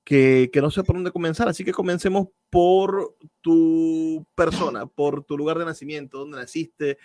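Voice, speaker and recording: A man's voice: on the high side, steady tone, moderate pace, a little mellow, no echo. A man with a Venezuelan accent presenting and interviewing as a radio host, effusive and wordy, repeating words for emphasis.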